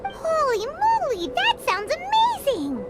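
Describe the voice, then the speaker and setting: A woman voicing a small, cheeky childlike fairy companion in a video game, squeaky and chatty. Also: huge pitch swings, slow, heavily acted. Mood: excited. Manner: chatty, exclamatory. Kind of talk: animated game dub acting. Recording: loud music under dialogue.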